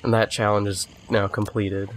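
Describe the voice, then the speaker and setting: A man's voice: lowish voice, normal speed, a little hiss or hum, poor audio. Man with a head cold narrating gameplay. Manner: relaxed, rambling, with restarts. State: has a cold, sniffly. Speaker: gamer doing a walkthrough. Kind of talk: casual gameplay commentary.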